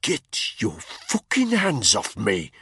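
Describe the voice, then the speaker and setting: A man's voice: medium pitch, very animated, normal speed, a bit bright, slightly rough, no room sound, clean studio sound, heavily acted. A man voicing a scornful, hostile male soldier who sneers and swears, in an audiobook reading. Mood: sneering.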